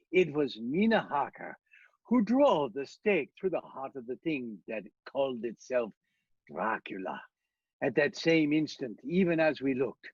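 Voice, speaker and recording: A man playing a commanding vampire hunter in a radio play, speaking with solemn, dramatic urgency and a husky voice. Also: medium pitch, no echo, highs cut off, exaggerated voice.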